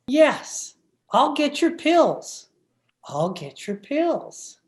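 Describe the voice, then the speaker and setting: A woman's voice: high voice, big pitch swings, very slow, heavily acted. A woman playing a timid, henpecked husband who stammers and hesitates, in old time radio horror style. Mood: evasive, uneasy. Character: timid, henpecked, frightened husband, a meek little man. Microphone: video call.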